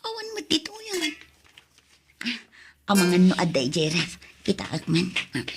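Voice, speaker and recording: A woman's voice: lowish voice, huge pitch swings, moderate pace, background noise. A woman playing a meek, put-upon young wife in a radio drama, hesitant and plaintive. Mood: hungry, hesitant. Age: young adult.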